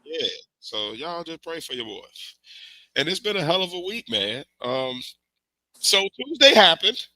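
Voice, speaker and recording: A man's voice: fairly high pitch, measured pace, dry recording, low-quality recording. A man hosting a podcast in casual, slangy chat, talking through a cold. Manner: loose, animated, slangy banter. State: has a cold. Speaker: podcast host. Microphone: remote stream mic.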